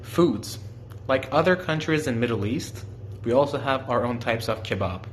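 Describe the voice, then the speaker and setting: A man's voice: medium pitch, expressive, normal speed, slight background noise. A young man from Iran, a native Farsi speaker with an Iranian accent, explaining his country's culture in a simple, friendly way and asking the listener questions. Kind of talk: simple explanatory talk aimed at children.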